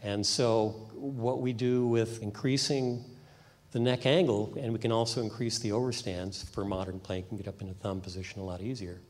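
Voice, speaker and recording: A man, a bass luthier, calmly explaining instrument repair work. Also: normal speed, big-room sound.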